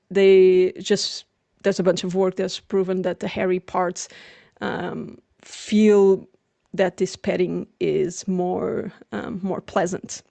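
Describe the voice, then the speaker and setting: A woman's voice: medium pitch, darkish tone, dry recording. Young woman w portuguese accent, native speaker of it, doing an informal research talk, really dry close sound. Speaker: lecturer, PhD researcher. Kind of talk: informal academic lecture.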